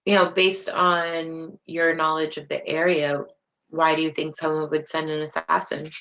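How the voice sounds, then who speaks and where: A woman's voice: deep voice, little pitch movement, normal speed, fairly bright tone, rough recording. A woman playing an online tabletop game, asking questions in a casual conversational style.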